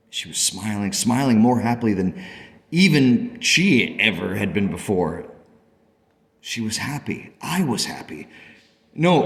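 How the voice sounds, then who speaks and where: An actor playing an earnest, grateful father in a radio play, telling his story emphatically and emotionally. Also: deep voice. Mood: overjoyed. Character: earnest, grateful father of a young daughter, searching for answers. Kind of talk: dramatic first-person storytelling. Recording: long reverberant hall echo.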